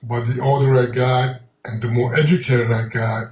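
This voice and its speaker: A man from Honduras speaking in a documentary interview, measured and reflective, pausing with ums. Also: medium pitch, flat delivery, a little mellow. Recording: roomy sound from a distant mic.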